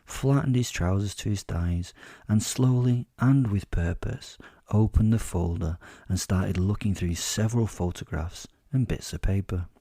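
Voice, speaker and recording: Dark, low-voiced man narrating wryly. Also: dry recording. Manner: measured, wry, dramatic pauses. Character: werewolf telling his life story, wry and boastful. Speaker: narrator and host of a fiction podcast. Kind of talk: first-person dramatic audio story narration.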